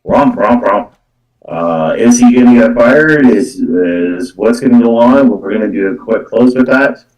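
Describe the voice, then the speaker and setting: A low-pitched man speaks from a little way off the microphone in an echoey room. He gives spiritual card readings as a medium, improvising as he goes. His phrasing is halting and circles back on itself, padded with repeated filler words. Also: animated, distant mic, muffled recording. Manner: repetitive, halting phrasing with verbal tics like "though" and "actually".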